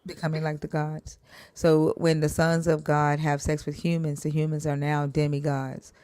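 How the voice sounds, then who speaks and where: Low-voiced woman hosting a live stream. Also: completely flat delivery, a little mellow, no room sound. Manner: halting, many fillers and restarts.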